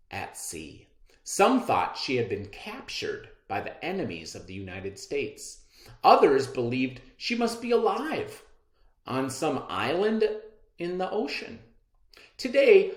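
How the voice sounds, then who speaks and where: A man leads a children's reading lesson, with a pitch that leaps up and down as he explains and reads aloud. He speaks in an encouraging way, at an unhurried pace. The room has a noticeable echo. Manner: animated, encouraging teacher talk to children. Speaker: teacher. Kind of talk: classroom read-aloud lesson.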